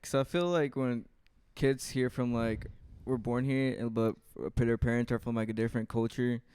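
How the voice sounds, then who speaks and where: Very smooth, dry-sounding male co-host. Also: mid-range voice, steady tone, normal speed, a little mellow, dry recording. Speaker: podcast co-host. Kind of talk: casual chat.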